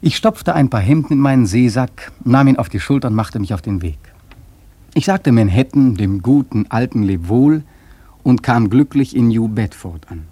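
A wry, brooding seafarer telling his tale in a literary radio play. The voice is husky and mellow, recorded close and dry. He speaks reflectively, placing his emphasis with dramatic care. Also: medium pitch, lively intonation, normal speed, dry recording. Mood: gloomy storytelling. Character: brooding, wry sailor narrator drawn to the sea.

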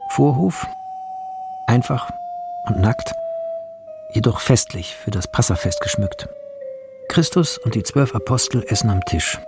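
A man's voice: mid-range voice, expressive, a little slow, gravelly, long pauses, loud music behind the voice. A man narrating a radio drama, reading scene directions in a terse, descriptive way.

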